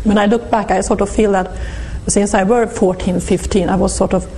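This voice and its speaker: A Swedish woman in her fifties with a Swedish accent, speaking as an interview guest and recounting her life in a hesitant, halting way with many restarts. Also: medium pitch, steady tone, dark tone, a little hiss or hum, poor audio. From Sweden. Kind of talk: reflective personal testimony.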